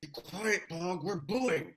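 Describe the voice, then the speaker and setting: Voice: mid-range voice, expressive, takes it slowly, a bit bright, slightly rough, full character voice. A performer playing an irritable, vain orc grunt with an insistent, snappish manner in a fantasy comedy read. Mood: irritated.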